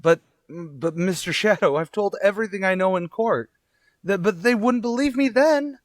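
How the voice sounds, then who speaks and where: A man's voice: high voice, normal speed, no echo, studio quality, close to an everyday voice. A man playing an innocent condemned prisoner in an old-time radio drama, earnest and hesitant. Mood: bewildered.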